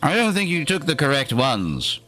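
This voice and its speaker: A man's voice: a little high, big pitch swings, moderate pace, bright, forceful tone, soft background music. A man hosting an in-character livestream, talking theatrically in a put-on accent with dry, opinionated asides. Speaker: streamer host.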